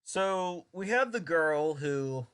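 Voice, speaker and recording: A man's voice: a little high, expressive, slow, a bit bright, clean tone. A young man reviewing an old anime dub in a casual, sarcastic, rambling way.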